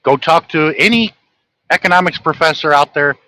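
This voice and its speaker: A man's voice: on the high side, normal speed, bright, forceful tone, low-quality recording. A man from the United States hosting a livestream, talking earnestly to his viewers as he reads from his own notes. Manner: earnest, lecturing, addresses viewers directly. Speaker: host of a livestream channel. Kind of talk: livestream monologue, reading from notes.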